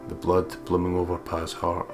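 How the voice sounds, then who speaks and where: A man's voice: deep voice, monotone, moderate pace. A man doing a let's play, reading a visual novel's narration aloud and chatting casually about it. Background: game music.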